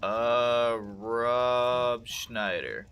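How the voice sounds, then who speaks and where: A man's voice: mid-range voice, drawn-out words, fairly bright tone, faint music behind. A young man with a congested voice, swearing and joking casually as he plays a game. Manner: profane, joking, talks back to the game. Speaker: gameplay commentator. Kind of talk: casual let's-play commentary.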